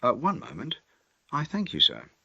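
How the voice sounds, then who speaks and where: Audiobook clerk, played by a male reader: deferential, careful, hesitant, husky edge, very lively pitch. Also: moderate pace, no room sound, muffled recording, exaggerated voice. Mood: polite, curious. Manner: hesitant, polite, formal. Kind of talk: audiobook character acting.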